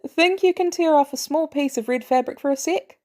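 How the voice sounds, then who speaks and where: A woman's voice: high-pitched, fairly quick, darkish tone, pitched above the performer's own voice, lightly acted. A woman voicing a wry, fatherly male superhero inventor in a story reading, casual and teasing.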